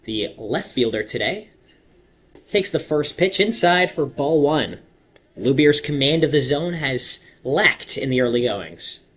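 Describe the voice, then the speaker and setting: A man's voice: medium pitch, lively intonation, fairly bright tone, slightly rough. A young man calling baseball play-by-play in a radio commentary style, stretching big moments dramatically. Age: young adult. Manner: dramatic, drawn-out calls on big plays.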